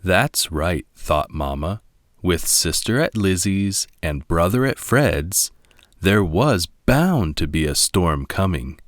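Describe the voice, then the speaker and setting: A man narrating a children's storybook as a read-along, with a deliberate, expressive delivery. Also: low-pitched, no room sound, studio quality.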